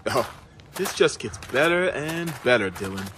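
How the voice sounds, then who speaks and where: A man's voice: mid-range voice, expressive, a little slow, a bit bright, slight background noise. A man playing a grumbling, sarcastic spaceship officer in an audio drama. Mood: wry grumbling. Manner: sarcastic, griping.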